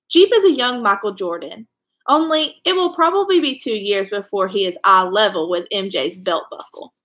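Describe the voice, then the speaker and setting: A woman's voice: fairly high pitch, pushed and bright. A young woman reading a children's novel aloud in a storytelling style, indoors at home. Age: young adult.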